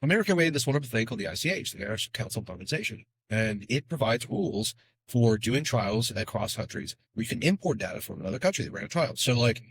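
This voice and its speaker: A man speaking as a guest in a casual podcast interview, explaining in a rapid, animated way with quick asides. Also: mid-range voice, husky, no echo, poor audio.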